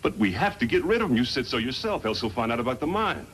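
An actor playing a cocky hired gunman in a 1950s radio western, with folksy, rough grammar. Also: medium pitch, very animated, quick pace, fairly bright tone. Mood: alarmed, baffled. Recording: noisy vintage 1950s radio recording.

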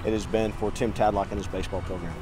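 A young man hosting a sports interview outdoors at a ballpark, upbeat and brisk as he sets up his questions. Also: lowish voice, fairly even intonation. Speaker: host. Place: outdoors at a baseball field. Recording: music bed underneath. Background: music.

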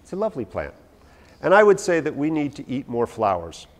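A man in his forties, an herbalist, giving a lively, anecdotal and self-deprecating talk to a live audience in a large hall, recorded from a far camera. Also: on the high side, fairly quick. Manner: animated, anecdotal, self-deprecating, gesturing while talking. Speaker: herbalist lecturer. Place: large hall with a stage, indoors. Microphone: no visible mic, far camera.

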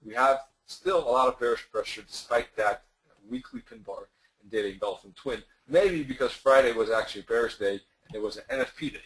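A man presenting a trading webinar in a loose, conversational way, losing his voice and clearing his throat now and then. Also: medium pitch, sing-song, fairly quick, poor audio. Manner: loose, conversational, with many fillers and restarts. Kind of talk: screen-share webinar explanation.

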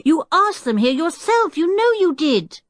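Woman doing an excitable, loud, high-pitched young boy. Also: unhurried, no echo, clean studio sound, exaggerated voice. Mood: indignant. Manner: insistent, shouting.

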